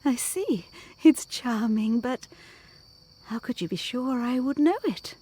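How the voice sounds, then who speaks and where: Intense, high-voiced young governess. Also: female voice, animated, warm tone, breathy edge, dry recording, exaggerated voice. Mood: wary questioning. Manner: intense, earnest, measured. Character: anxious, probing young governess, obsessed and fearful. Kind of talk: gothic audio drama acting.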